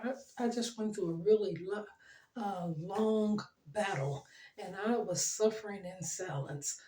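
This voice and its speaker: A middle-aged woman talking earnestly to her viewers, her voice worn and fading, pausing often. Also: medium pitch, echoey room, poor audio. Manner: earnest, gentle, pauses with ums and soft laughs. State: losing her voice. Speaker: channel host. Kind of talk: heartfelt motivational talk to camera.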